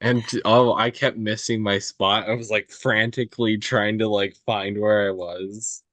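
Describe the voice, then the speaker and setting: A man's voice: lowish voice, little pitch movement, unhurried, fairly bright tone, dry recording. A tired man chatting casually and informally with a group. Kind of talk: casual chat.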